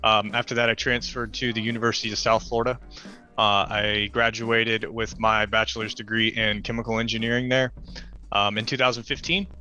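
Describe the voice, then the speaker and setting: A man introducing his engineering career on a livestream panel, steady with frequent uhs and ums. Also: fairly low pitch, a little fast, highs cut off, soft background music. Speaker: mentor panelist. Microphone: video call.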